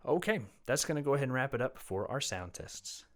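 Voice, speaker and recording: A man casually reviewing and demoing a condenser microphone in a small, fairly dead room. He talks in a relaxed, rambling way with many fillers, and his voice is a little low from recovering from an illness. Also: fairly quick. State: slightly low voice, getting over an illness. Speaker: music teacher reviewing a microphone. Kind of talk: casual gear review and demo. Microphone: condenser microphone, no pop filter, varying distance.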